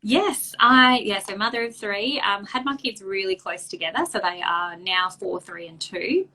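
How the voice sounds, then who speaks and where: A woman in her thirties, a speech pathologist guest, with a slightly husky voice from a recent cold, friendly and quick to laugh. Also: mid-range voice, sharp tone, a little hiss or hum, poor audio. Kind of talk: casual interview talk.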